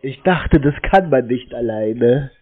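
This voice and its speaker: A man's voice: mid-range voice, lively intonation, measured pace. A man in a casual phone chat, giving short, naive-sounding answers as he plays along. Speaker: prank call recipient playing along. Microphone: telephone. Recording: tinny narrow phone line.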